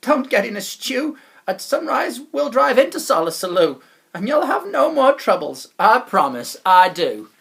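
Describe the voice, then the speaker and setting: A man voicing a bossy, boastful, cheery chap from a children's storybook, loud, emphatic and full of confidence. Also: extremely high pitch, very animated, unhurried, creaky, pitched above the performer's own voice, full character voice. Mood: reassuring. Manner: loud, emphatic, hearty, overconfident.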